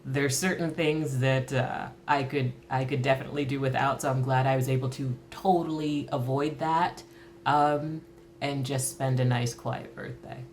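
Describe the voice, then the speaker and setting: A woman in her early fifties reflecting candidly on her own life in a thoughtful, halting way, as in a personal interview. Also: rumbling low, fairly even intonation, darkish tone, slight background noise. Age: early 50s. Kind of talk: reflective personal talk to camera.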